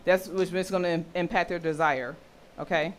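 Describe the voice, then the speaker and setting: Deep-voiced woman teaching a workshop. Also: fairly even intonation, moderate pace, slight background noise. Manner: checks in often with "okay?" and quizzes listeners. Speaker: health and intimacy educator.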